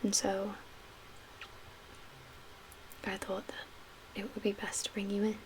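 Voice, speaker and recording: A woman speaking in a hushed, breathy whisper with measured pauses, performing an ASMR roleplay as a calm, guarded survivor-camp medical official. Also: mid-range voice, rough recording. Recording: audible background hiss and ambience.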